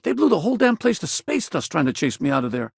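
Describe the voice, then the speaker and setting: A gruff, easygoing middle-aged space veteran for a video game. His voice is heavily gravelled and rough-edged, recorded close and dry. He talks in a folksy, wry, casual way, like a dad with some regrets. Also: a little high, sing-song, fast talker, no room sound, exaggerated voice. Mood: friendly, wry. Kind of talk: video game companion dialogue.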